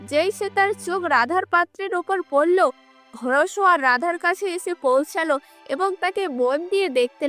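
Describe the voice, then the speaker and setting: A female storyteller narrates a cartoon tale from a script. Her voice is extremely high, with faint music playing behind it. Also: sharp tone.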